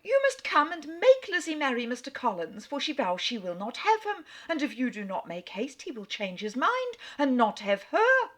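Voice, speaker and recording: A woman reading aloud as a shrill, excitable middle-aged mother in a frantic state. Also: normal speed, few pauses, exaggerated voice. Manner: frantic, breathless exclamations. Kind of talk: dramatic reading.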